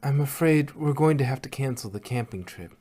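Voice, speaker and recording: A man's voice: mid-range voice, expressive, a little fast, mellow, lightly acted. A man voicing a refined, apologetic female pony, a caring older sister who speaks politely and carefully. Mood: regretful.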